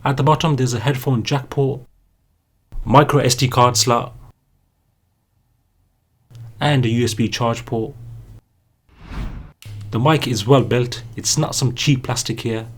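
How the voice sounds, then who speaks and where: A man's voice: medium pitch, steady tone, unhurried, long pauses, slightly rough. A man narrating a scripted product review as the host of a review channel.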